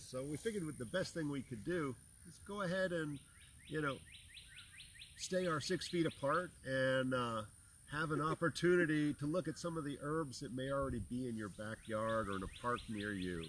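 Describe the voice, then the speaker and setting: A man's voice: mid-range voice, normal speed, a bit bright, slight background noise. A man from the Bahamas, an herbal teacher, welcoming viewers in easygoing, folksy chat before an outdoor class. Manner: easygoing and folksy, with many fillers. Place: outdoors among plants, with birds and insects.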